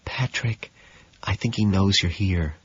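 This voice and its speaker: A man's voice: sits low, a little slow, slightly rough, dry recording, dull audio, full character voice. A man reading an audiobook as a timid, deferential female secretary, nervous and hesitant. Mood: hushed warning. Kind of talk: dramatised audiobook narration.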